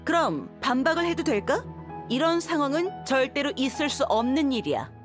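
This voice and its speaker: Game mercenary girl, highish lively voice, sure of herself, music going behind. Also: normal speed. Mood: reasoning. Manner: self-assured, frank, reasoning firmly. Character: confident, seasoned young female mercenary swordswoman. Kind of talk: video game voice acting.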